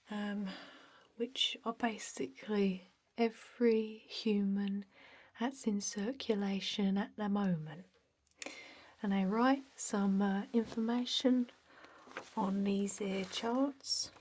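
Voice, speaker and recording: Casting: woman as energy vampire clerk for ASMR roleplay; mellow, breathy half-whisper, deadpan and rambling, faint background noise. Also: medium pitch, lightly acted. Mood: matter-of-fact. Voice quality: soft, breathy, often whispered. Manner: hesitant, rambling, deadpan workplace small talk. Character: chatty energy vampire office clerk, drily jokey.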